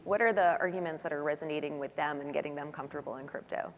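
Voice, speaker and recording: Low-voiced woman moderating a panel. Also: steady tone, fast, slight background noise. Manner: conversational, question-asking. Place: large conference hall stage. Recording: long hall reverb, faint ambience.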